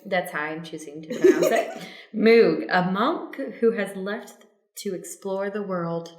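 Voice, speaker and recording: A woman improv performer speaks casually and very slowly. Her pitch is average, and the recording sounds roomy. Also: animated. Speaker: improv actor. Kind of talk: casual self-introduction.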